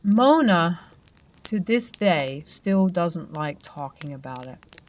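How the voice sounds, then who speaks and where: A woman narrating true ghost stories in a soft, conversational way. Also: on the low side, lively intonation, takes it slowly. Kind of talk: storytelling narration.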